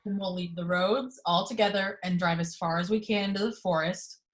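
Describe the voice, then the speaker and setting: A woman's voice: lowish voice, steady tone, fairly quick, roomy sound, lightly acted. A woman reading the part of an earnest, level-headed young man in an amateur horror screenplay table read. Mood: urgent, steadying.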